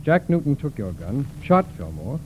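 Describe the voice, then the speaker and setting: An actor playing a courteous, methodical FBI agent in a 1940s radio drama, businesslike and in command. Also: male voice, mid-range voice, sing-song, normal speed, phone-quality sound, close to an everyday voice. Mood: calm, explanatory. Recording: hissy vintage radio drama recording.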